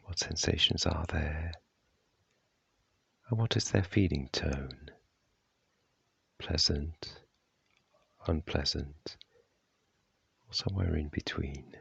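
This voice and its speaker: Very deep, creaky male meditation guide. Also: no echo, muffled recording. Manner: gentle, unhurried, measured phrasing. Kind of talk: guided body scan meditation, slow instructions with long pauses.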